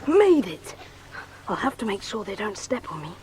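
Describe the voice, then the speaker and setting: Woman doing brave little boy, bit scared, animated, music behind, audio drama. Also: medium pitch, normal speed, close to an everyday voice. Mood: anxious, determined. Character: plucky young boy hero, afraid but determined.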